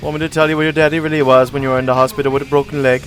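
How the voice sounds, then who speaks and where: Male reader doing a creepy fake-Jamaican fortune teller, smooth and taunting, a bit higher than his own voice. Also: little pitch movement, rapid-fire, a bit bright, faint music behind, close to an everyday voice. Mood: menacing. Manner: smooth, unsettling, prophetic taunting. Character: mysterious male street psychic faking a Jamaican accent. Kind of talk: audiobook character voice.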